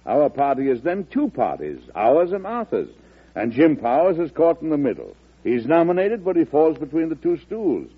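An actor in an old-time radio drama playing a folksy, shrewd old president with a husky, emphatic, avuncular delivery. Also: male voice, on the high side, big pitch swings. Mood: exasperated, instructive. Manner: avuncular, emphatic, chuckling, deliberate. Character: folksy, shrewd old male president, genial political string-puller. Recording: muffled old radio broadcast recording.

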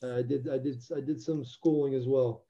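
A man's voice: medium pitch, steady tone, moderate pace, warm tone. A young man from Albania, a former football player, answering interview questions in an earnest, reflective way with many restarts. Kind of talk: casual interview.

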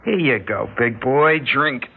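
A man's voice: medium pitch, slow, creaky. A man playing a cocky, cruel young gang leader in an old-time radio drama, taunting and bossy in slangy talk. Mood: bossy, menacing. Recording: tinny, noisy vintage radio recording.

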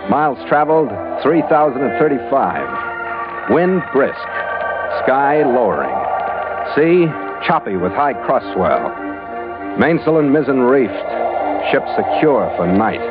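An actor playing a wry, seasoned ship's captain in a 1940s radio adventure, with a clipped, dry, hardboiled delivery. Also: male voice, mid-range voice, big pitch swings, slow. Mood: businesslike. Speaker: lead actor and narrator. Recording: muffled old radio, loud music behind.

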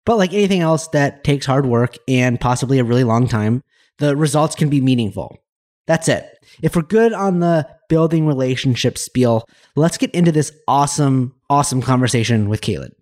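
A man's voice: mid-range voice, animated, normal speed, darkish tone. A man hosting a podcast, giving a short intro and then asking questions, often restarting his phrases. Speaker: podcast host.

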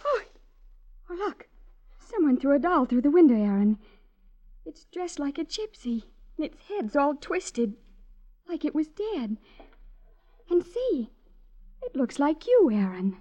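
On a dull vintage radio recording, an uneasy widow. Her high voice swoops widely as she speaks slowly, wide-eyed with wonder. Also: sing-song, warm tone, exaggerated voice. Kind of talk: 1950s radio drama acting.

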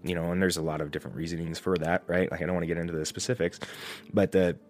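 A man's voice: sits low, level tone, rapid-fire, faint music behind. A man hosting a solo podcast, getting over a cold, thinking aloud in a rambling, reflective way with many restarts. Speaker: podcast host.

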